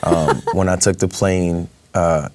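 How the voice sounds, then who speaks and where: A young man speaks, his voice sitting low. He talks casually as an interview guest, hesitating often with fillers and laughing easily. His voice sounds dry and close. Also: steady tone, takes it slowly, a little mellow, dry recording. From Brooklyn, New York. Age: young adult.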